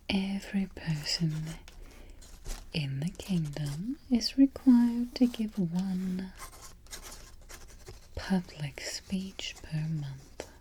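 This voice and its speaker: A woman playing a haughty evil queen in a soft-spoken ASMR roleplay, breathy and whispery, giving petty orders with imperious, mock-sweet deliberation. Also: mid-range voice, animated, very slow, pauses often, a little hiss or hum. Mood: calm, commanding. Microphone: close ASMR microphone.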